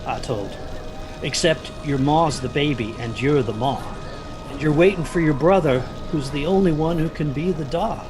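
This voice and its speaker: An actor playing an anxious, guilt-ridden young son, narrating a story in the first person with literary intensity. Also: male voice, on the high side, normal speed, noisy background, close to an everyday voice. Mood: guilty.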